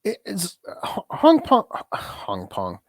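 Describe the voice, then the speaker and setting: Man, podcast co-host, nasal from a cold, lively up-and-down delivery, halting restarts, dry recording. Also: high voice, creaky, dry recording. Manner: halting, many restarts, laughs easily. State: stuffed up from a cold. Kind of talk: casual chat.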